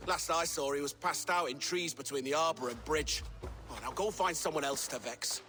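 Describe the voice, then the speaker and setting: A surly medieval villager for a game. He snaps irritably in a bright, forceful voice pitched a bit high, as if everyone were a nuisance. Faint music plays behind. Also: moderate pace. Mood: grudging. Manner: snappish, irritable. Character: grumpy, surly, insult-flinging medieval commoner. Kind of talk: video game drama acting.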